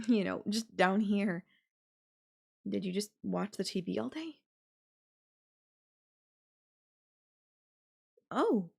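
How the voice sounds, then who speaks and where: Slow-talking, possessive yandere girlfriend played by a woman. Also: medium pitch, expressive, vocal fry, a little mellow, husky, full character voice. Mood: curious, affectionate. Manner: slow, coaxing, affectionate talk with veiled threats. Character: possessive yandere girlfriend, sweetly menacing captor, adult woman. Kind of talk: intimate audio roleplay addressed to the listener.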